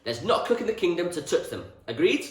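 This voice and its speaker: A man's voice: high-pitched, very animated, fast, pitched above the performer's own voice. A man voicing a jovial, opinionated country farmer in a storybook, speaking emphatically and repeating himself for effect. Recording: roomy echo, distant from the mic.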